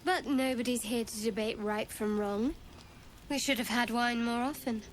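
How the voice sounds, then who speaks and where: Actress as a guarded, higher-voiced woman adventurer. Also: steady tone, normal speed, slight background noise, natural voice, poor audio. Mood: wry, reflective. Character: guarded, wry young woman adventurer, softening over wine.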